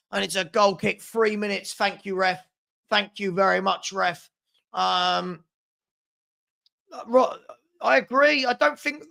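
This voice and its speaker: Male watchalong host, British, high gravelly voice going hoarse. Exasperated, emphatic football commentary that hammers phrases by repetition. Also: bright, forceful tone. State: hoarse, losing his voice. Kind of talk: live fan commentary, reading viewer comments.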